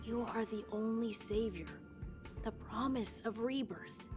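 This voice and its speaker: A woman's voice: fairly high pitch, measured pace, loud music behind the voice, lightly acted. A woman voicing an ominous, solemn figure in a video game who speaks like a grave storyteller. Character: ominous, solemn woman telling a tragic legend.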